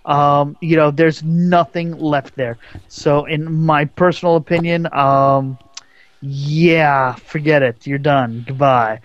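Male radio co-host, a bit high-pitched, halting and stuttery, dull dry sound. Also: fairly even intonation, dry recording. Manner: halting, stuttery, many ums and you-knows. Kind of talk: casual radio banter.

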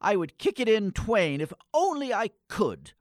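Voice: medium pitch, very animated, unhurried, heavy background noise. An actor playing a timid, morose young boy with theatrical, old-fashioned wording in a comic radio play. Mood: fretful. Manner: theatrical, florid antique wording.

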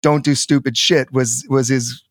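A man's voice: medium pitch, steady tone, measured pace, dry recording, clean studio sound. A man talking politics in a loose, rambling way, often swearing and trailing off mid-sentence. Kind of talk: casual political chat.

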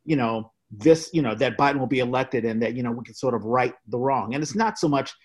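A man co-hosting a casual discussion of politics, giving his opinions in a halting way with repeated phrases. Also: mid-range voice, slightly rough. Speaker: podcast co-host.

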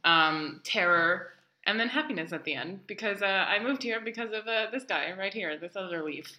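A woman's voice: mid-range voice, moderate pace, bright, forceful tone. A woman with an American accent from New York City, co-hosting a casual podcast with giggly, blunt, sometimes profane banter. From New York City, United States. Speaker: podcast co-host. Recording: roomy, echoey indoor sound.

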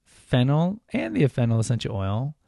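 A man's voice: medium pitch, wildly swooping pitch, moderate pace, vocal fry, warm tone, dry recording, studio quality. A man hosting a podcast, talking casually and informally, with fillers and self-corrections. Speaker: podcast host. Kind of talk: casual solo podcast talk.

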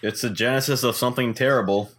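A man's voice: medium pitch, fairly even intonation, quick pace, a bit bright, a little hiss or hum. A young man co-hosting a casual podcast, making wry jokes and explaining things. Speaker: podcast co-host.

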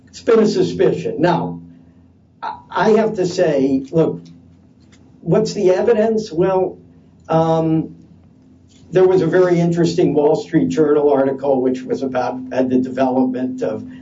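A man speaking loudly at a conference panel, hesitant and halting with many fillers and restarts. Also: a little high. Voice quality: loud voice. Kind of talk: unscripted conference talk. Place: conference session room. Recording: distant, dull lo-fi conference audio.

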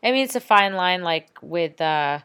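A woman's voice: fairly low pitch, animated, moderate pace, fairly bright tone, smooth. A woman who also teaches, chatting casually and backing up her friend with agreement. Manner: supportive, finishes the other's sentences. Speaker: teacher, co-host of chat. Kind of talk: casual chat.

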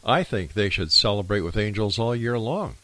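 A man's voice: on the low side, animated, normal speed, bright, forceful tone, no room sound. A man hosting a radio talk show, thanking his guest and signing off in a friendly announcer manner.

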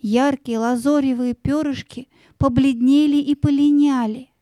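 A woman's voice: high voice, dark tone, no echo. A woman narrator telling a fairy tale expressively in an audio play.

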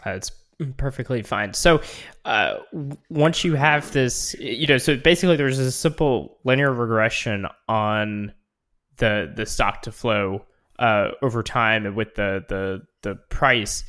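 A man's voice: mid-range voice, a little slow, fairly bright tone. A man hosting an interview, asking questions in a loose, casual way with fillers. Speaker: host.

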